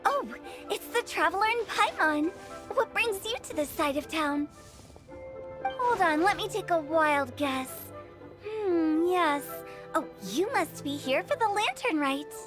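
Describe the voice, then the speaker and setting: A woman's voice: very high voice, animated, unhurried. An actress playing a playful, eccentric young female funeral parlour director in a game, chatty, teasing and theatrical. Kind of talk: animated game voice acting. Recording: clear music bed behind voice.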